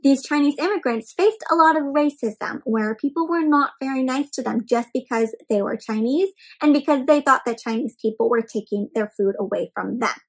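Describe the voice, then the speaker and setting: A young woman hosting an interactive read-aloud for small children, expressive and full of questions addressed straight to her listeners. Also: high-pitched, normal speed, hardly any pauses, husky. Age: young adult.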